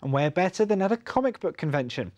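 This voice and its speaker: A man's voice: high-pitched, very animated, fast, darkish tone, dry recording. A man narrating a news report as the reporter.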